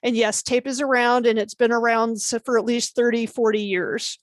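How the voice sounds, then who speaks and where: A woman's voice: fairly high pitch, steady tone, moderate pace, no room sound. A woman in her thirties explaining her engineering job plainly on a panel. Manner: plain, explanatory, with some restarts. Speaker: senior service engineer, panelist. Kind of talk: casual panel introduction. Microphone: video call.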